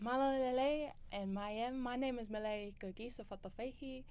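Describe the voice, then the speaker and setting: A woman from the Torres Strait Islands and Tonga, performing a spoken word poem in a slow, measured and deliberate way. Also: fairly high pitch, darkish tone, smooth, dry recording. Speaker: performing poet.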